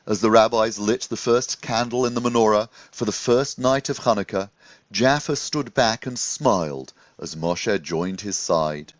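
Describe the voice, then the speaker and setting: A man reads a children's story aloud as an expressive narrator, in a gentle, measured storytelling manner. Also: lowish voice, no echo, highs cut off. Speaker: storybook reader.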